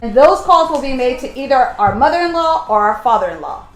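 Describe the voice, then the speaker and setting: A woman's voice: sits high, expressive, fairly quick, reverberant, a little hiss or hum, rough recording. A woman from Samoa hosting a playful family vocabulary game in a casual home vlog. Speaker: vlog host.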